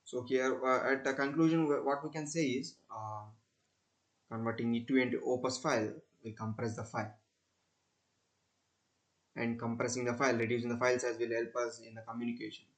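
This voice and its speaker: A young man with an Indian accent giving a technical demonstration as a student presenter, explaining haltingly with repeated phrases. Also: medium pitch, flat delivery. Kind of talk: screen-recorded technical demonstration.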